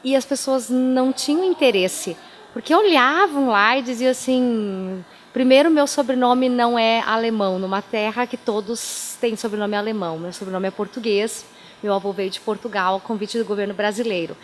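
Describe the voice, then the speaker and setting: A woman's voice: on the high side, moderate pace, slight background noise. Chatty woman with a German mother tongue. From Timbó, southern Brazil. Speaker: pastor, interview guest. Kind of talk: radio interview, life storytelling.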